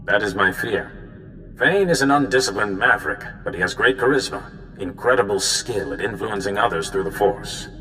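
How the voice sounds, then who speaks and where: A man playing a solemn, worried Jedi mentor in a video game, speaking in measured explanations. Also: fairly low pitch, animated, sharp tone, soft background music. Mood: urgent, foreboding. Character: grave, worried male Jedi mentor giving orders. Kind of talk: cinematic video game dialogue. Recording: hall reverb, faint background noise.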